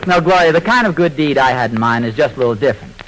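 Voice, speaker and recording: An actor playing an earnest, mild-mannered, slightly folksy husband in a 1940s radio sitcom. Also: male voice, medium pitch, expressive, fast, lightly acted. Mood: mild, explanatory. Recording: noisy vintage radio recording.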